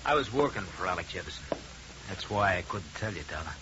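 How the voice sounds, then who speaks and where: An actor playing an earnest, blunt hired investigator with a direct, insistent delivery in 1950s radio drama style. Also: male voice, deep voice, expressive, fairly quick. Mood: explanatory. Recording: dull, hissy 1950 radio recording.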